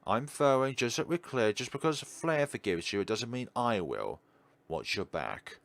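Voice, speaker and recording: A man's voice: mid-range voice, sing-song, normal speed, gravelly, dry recording, pitched above the performer's own voice. A man voicing a hostile, protective housemate in a visual novel, sarcastic and confrontational. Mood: threatening. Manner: taunting, confrontational.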